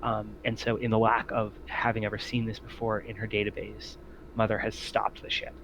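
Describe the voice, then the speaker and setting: A man's voice: fairly low pitch, completely flat delivery, normal speed, creaky, noisy background. A man acting as game master, improvising tabletop game narration in a hesitant, explanatory way.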